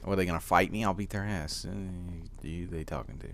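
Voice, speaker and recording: A teenage boy with a deep voice giving casual gameplay commentary, rambling with lots of fillers and easy laughs. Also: steady tone, fairly quick, slight background noise. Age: teens. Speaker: gaming commentator.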